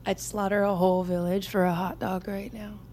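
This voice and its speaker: A woman's voice: mid-range voice, little pitch movement, fairly quick, a little mellow, slight background noise, lightly acted. A young woman playing a wisecracking, sarcastic army private in custody in an audio drama. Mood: sarcastic, flippant. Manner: sarcastic, flippant, joking. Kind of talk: naturalistic audio fiction acting.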